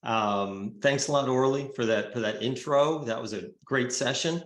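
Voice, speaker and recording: A man hosting and moderating an online summit session over a video call, friendly and unhurried, with many fillers. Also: mid-range voice. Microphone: remote video call. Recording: roomy, echoey sound.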